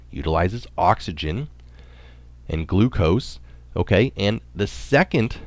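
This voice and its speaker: A man reading a health article aloud in a measured, explanatory manner, sometimes adding his own notes. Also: low-pitched, no room sound.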